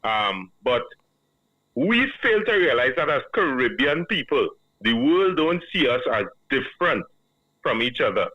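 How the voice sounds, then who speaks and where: A man with a Trinidadian accent, calling in to a radio show and giving a measured, earnest opinion. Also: mid-range voice, big pitch swings, no echo. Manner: measured, earnest, reasoning aloud. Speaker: caller. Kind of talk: call-in radio commentary. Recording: dull, dry phone line.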